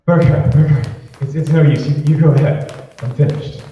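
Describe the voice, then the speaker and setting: A man's voice: a little high, fairly even intonation, normal speed, warm tone, a little hiss or hum, lightly acted. Faltering, defeated man acted, very echoey. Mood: despairing. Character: weary, defeated man giving up in despair. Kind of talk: old-time radio drama acting. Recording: echoey hall, distant, muffled.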